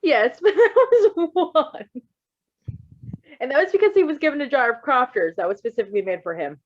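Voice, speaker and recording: A woman reading fan fiction aloud in a casual, giggly, expressive way, breaking into chatty asides. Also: high voice, a little fast, muffled recording. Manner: giggly, expressive, exclaiming and swearing. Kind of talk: giggly live read-aloud with casual chat.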